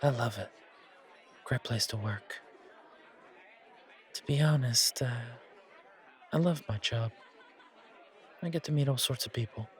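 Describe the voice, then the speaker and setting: A man's voice: mid-range voice, measured pace, long pauses, lightly acted. Friendly bartender, played by a man, mid-range voice, casual and soothing roleplay delivery, light crowd murmur behind. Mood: easygoing, chatty. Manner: chatty, hesitant, soothing. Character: friendly, reassuring male bartender at a supernatural bar. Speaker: audio roleplay voice actor. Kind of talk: casual, naturalistic one-sided roleplay acting. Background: bar crowd chatter.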